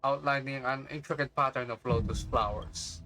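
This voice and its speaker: A man's voice: medium pitch, fairly even intonation, moderate pace, bright, forceful tone. A man streaming casual reaction commentary on a game, with playful, slangy interjections. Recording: game music behind the voice.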